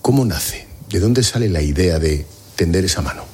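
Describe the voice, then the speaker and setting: A man's voice: low-pitched, expressive, moderate pace, darkish tone, breathy edge. A man interviewing guests for a TV report, asking direct, probing questions.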